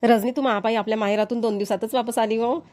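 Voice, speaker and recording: A woman's voice: fairly high pitch, steady tone, quick pace, darkish tone, lightly acted. A woman voicing a kindly, grateful elderly village mother-in-law with a Varhadi Marathi accent in an amateur cartoon comedy. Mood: fond, grateful.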